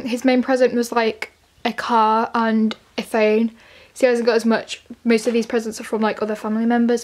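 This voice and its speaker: Teen girl vlogger, croaky from a cold, a touch higher-pitched, chatty and rambling. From England. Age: teens. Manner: chatty, rambling with many fillers. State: croaky from illness. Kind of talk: casual vlog chat. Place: at home. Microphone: handheld phone, then camera.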